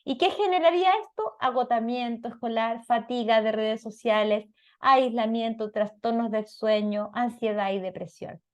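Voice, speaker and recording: A woman's voice: fairly high pitch, normal speed, highs cut off. A woman with a Chilean Spanish accent giving an explanatory talk as a parenting lecturer, didactic and often checking in with short questions.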